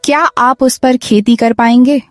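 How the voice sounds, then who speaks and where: A woman's voice: sits high, steady tone, measured pace, no echo. A woman playing a poor farmer's worried, reproachful wife in a cartoon moral story, plaintive and questioning.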